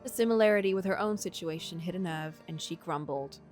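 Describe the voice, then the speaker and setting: A woman reading a visual novel aloud as a streamer, in expressive narration with casual asides. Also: on the low side, brisk, soft background music.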